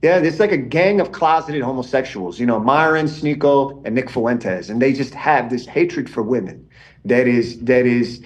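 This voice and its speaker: Forties male guest, casual and rambling, average pitch, calling in remotely. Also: normal speed. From Israeli and Iranian background. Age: 40s. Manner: laid-back, fills speech with "man" and "you know". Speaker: podcast guest. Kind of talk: casual conversation. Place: home in Germany, remote call.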